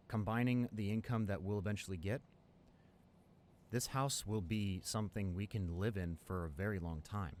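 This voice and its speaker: A man's voice: deep voice, slight background noise. A man playing a devoted, hopeful college boyfriend in an improvised ASMR roleplay, talking earnestly and affectionately to a partner. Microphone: close studio condenser microphone.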